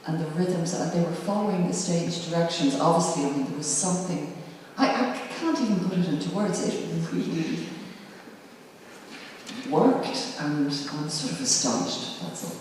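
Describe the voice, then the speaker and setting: Halting speaker, unhurried spontaneous response, searching for words, long reverb, faint background noise. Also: medium pitch, a little mellow. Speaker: discussion participant. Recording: very echoey hall, distant mic, faint noise.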